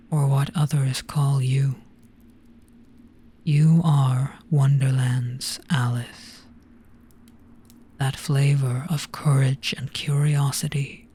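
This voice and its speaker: A gentle, resigned monster from a hypnotic storybook roleplay, acted out by a performer. The voice is extremely breathy and husky, often sinking to a whisper. It speaks slowly and soothingly, with little rise or fall in pitch. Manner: slow, hushed, soothing, deliberate. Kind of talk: hypnotic trance roleplay audio.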